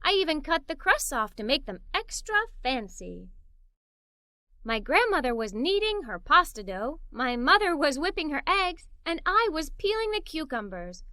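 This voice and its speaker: A woman tells a children's story in the first person as a young girl. Her voice is high and bright, with an expressive storyteller's delivery. The recording is close and dry. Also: normal speed, no echo. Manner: animated, theatrical, giggly storytelling delivery. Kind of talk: expressive scripted read-aloud for young children, told as a young girl.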